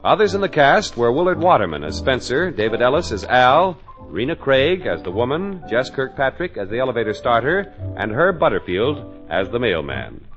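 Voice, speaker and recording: A man's voice: medium pitch, big pitch swings, normal speed, few pauses, fairly bright tone. A man with an American accent reading scripted 1940s radio announcements and sponsor messages. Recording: dull old radio recording with music.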